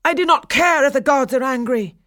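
A woman voicing a gentle, passionate young male shepherd in a read-aloud storybook, moving from tender whispers to anguished cries. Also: sits low, moderate pace, gravelly, slightly rough, dry recording, clean studio sound, heavily acted. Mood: anguished outcry.

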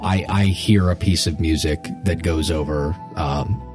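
A man co-hosting a podcast, chatting casually and thinking aloud in a halting way. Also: very deep voice, fairly even intonation, a little mellow, soft background music. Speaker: podcast co-host. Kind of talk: casual chat.

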